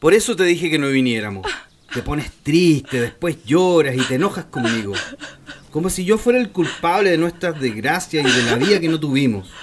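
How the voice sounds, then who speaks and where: An actor playing an impatient, exasperated husband in a radio drama, speaking in an insistent, reproachful way. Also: male voice, fairly high pitch, lively intonation, normal speed, lightly acted. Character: impatient, exasperated husband, weary of family ghosts. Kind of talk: Spanish-language radio drama acting. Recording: noisy, clicky recording.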